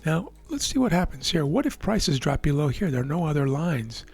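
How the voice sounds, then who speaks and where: A man with a hoarse, worn voice calmly explaining the markets as a trading educator, often checking in with the listener. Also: fairly high pitch, a little fast. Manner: relaxed, explanatory, checks in with "right?". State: hoarse, losing his voice. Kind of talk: live market commentary over a chart screen.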